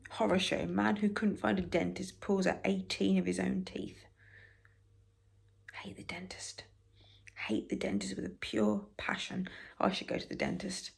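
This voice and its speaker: A British woman with a raspy voice, softly reading newspaper stories for ASMR and adding wry, halting asides. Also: mid-range voice. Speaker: ASMR creator.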